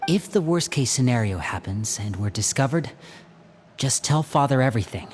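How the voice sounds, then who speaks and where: An actor playing a young male stage magician who is playful and protective, using a smooth, coaxing showman's delivery. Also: medium pitch, animated, moderate pace, a little hiss or hum, close to an everyday voice. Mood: planning. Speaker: older brother planning a rescue. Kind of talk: video game dub acting.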